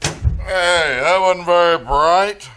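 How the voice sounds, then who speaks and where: A man's voice: high-pitched, very slow, sharp tone, slight background noise, exaggerated voice. An actor playing a flustered, deferential policeman who stammers, in an old radio crime drama. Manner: hesitant, stammering. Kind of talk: 1940s-50s radio crime drama acting.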